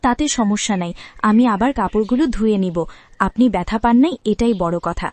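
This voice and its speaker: Humble young cartoon heroine, played by a woman, gentle and patient, dryly recorded. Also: mid-range voice, brisk, no room sound, lightly acted. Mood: concerned. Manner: meek, patient, soothing. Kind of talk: Bengali cartoon dialogue.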